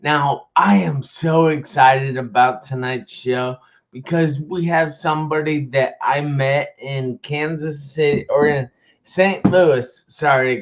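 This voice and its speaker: A man's voice: medium pitch, highs cut off. A man hosting a live online talk show, talking in an upbeat, enthusiastic way. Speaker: host.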